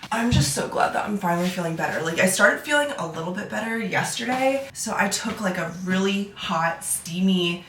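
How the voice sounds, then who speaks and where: A woman's voice: mid-range voice, animated, moderate pace, few pauses, a bit bright. A young woman chatting casually in a vlog, congested as she gets over a cold, rambling and peppering her talk with "like". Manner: rambling, frequent use of "like". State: recovering from illness, stuffy. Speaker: lifestyle vlogger. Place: indoors at home. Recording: roomy echo with clear background noise.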